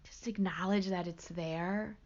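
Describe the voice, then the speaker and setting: A woman leading a guided meditation as a teacher, gentle and hushed, with pauses between short phrases. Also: lowish voice, steady tone, dull audio.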